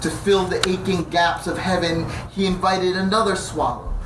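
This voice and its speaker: Man, poet, measured reading, hesitant storytelling, roomy distant sound, background noise. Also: sits high, steady tone. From New Jersey. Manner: measured reading with dramatic slowing on key phrases; conversational storytelling with hesitant fillers between poems. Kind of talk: poetry reading with spoken introduction.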